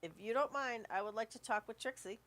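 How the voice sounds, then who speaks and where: A woman's voice: fairly high pitch, brisk, a bit bright, lightly acted. A woman playing a patient but easily exasperated school staffer, polite and admonishing, in an amateur radio play. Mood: friendly.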